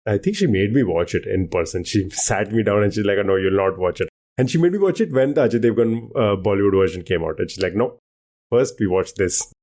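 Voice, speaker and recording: A man's voice: fairly low pitch, lively intonation, fairly quick, a little mellow. A man whose first language is Hindi, co-hosting a podcast in casual, enthusiastic chat with lots of fillers and restarts. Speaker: podcast co-host.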